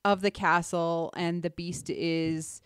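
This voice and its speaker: A woman's voice: on the low side, level tone, slow, clean tone, no echo. Earnest woman podcaster, close dry sound. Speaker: podcast host. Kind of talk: analytical podcast discussion.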